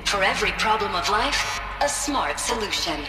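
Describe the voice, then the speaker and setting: A woman's voice: mid-range voice, very animated, moderate pace, close to an everyday voice. A woman voicing a corporate promo narrator in a polished, scripted advert, in a game. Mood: promotional. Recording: echoey hall with music.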